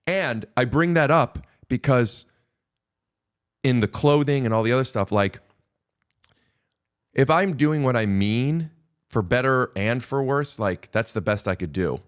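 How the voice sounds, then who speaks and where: A man's voice: mid-range voice, expressive, unhurried, long pauses. A man in casual podcast conversation as a guest, rambling with restarts and repeated words.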